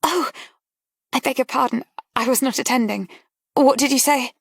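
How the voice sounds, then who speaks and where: Brief: a woman playing a high, tremulous young lady on the edge of tears, breathy and pleading, storybook reading. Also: normal speed, no room sound, clean studio sound, heavily acted. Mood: flustered. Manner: breathless, pleading, breaking into whispers. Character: anguished young woman, tearful and trembling.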